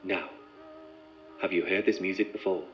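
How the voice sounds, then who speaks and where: A calm methodical researcher, lowish voice, clinical and precise, bit muffled w music under it, audio drama. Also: male voice, normal speed. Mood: clinical, probing. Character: composed, methodical male researcher running an experiment. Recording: muffled sound with music behind.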